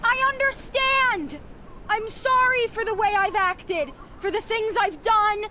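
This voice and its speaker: A woman's voice: very high voice, sharp tone, slight background noise, heavily acted. A woman playing a determined, emotional young girl adventurer in a family audio drama, earnest and dramatic. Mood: desperate realization. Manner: earnest, dramatic, halting when upset.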